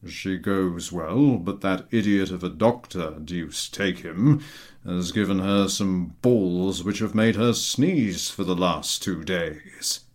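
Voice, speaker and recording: A man voicing a gruff, unhurried military general with a blunt, reserved manner in a story reading. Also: low-pitched. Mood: grumbling, blunt.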